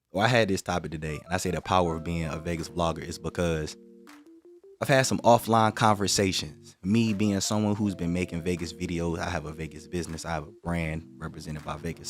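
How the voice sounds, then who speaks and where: Deep-voiced man hosting a casual livestream. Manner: relaxed, direct, slangy, explaining points at length. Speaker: livestream host. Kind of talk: casual livestream talk to viewers.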